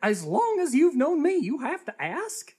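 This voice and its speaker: A woman playing a tipsy, confused old man in a live comic radio play, slow-witted and rambling. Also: high-pitched, huge pitch swings, dark tone, full character voice. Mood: tipsy, stubborn. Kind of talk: live comedic radio theatre acting.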